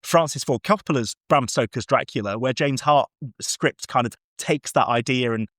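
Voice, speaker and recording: A man's voice: medium pitch, moderate pace, a bit bright, no room sound. Rambling, enthusiastic man on a dry mic. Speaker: podcast host. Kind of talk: casual film and TV discussion.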